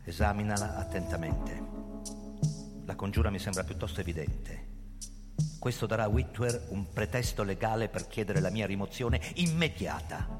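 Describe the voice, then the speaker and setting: An actor playing a paranoid, bitter middle-aged police chief in an intense, sarcastic radio drama style. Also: male voice, on the low side, lively intonation, normal speed, lightly acted. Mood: indignant. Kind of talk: theatrical radio drama acting with first-person narration. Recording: reverberant hall, music playing behind.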